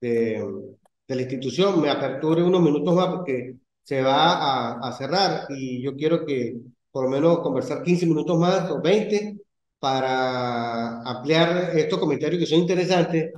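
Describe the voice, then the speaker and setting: A middle-aged Venezuelan man leading an online class discussion as professor, speaking in a measured, hesitant way with many fillers. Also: a little high, a little mellow. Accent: Venezuelan Spanish. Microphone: video call. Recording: echoey lo-fi video call audio.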